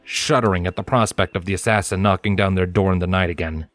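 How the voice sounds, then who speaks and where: A man's voice: low voice, fairly even intonation, fast. A man reading scripted story narration as the narrator of an audiobook. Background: soft music.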